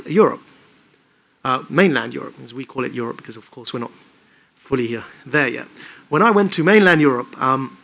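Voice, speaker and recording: A British-born man giving an articulate, emphatic public talk in measured phrases. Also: medium pitch, fairly bright tone. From United Kingdom. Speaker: public speaker.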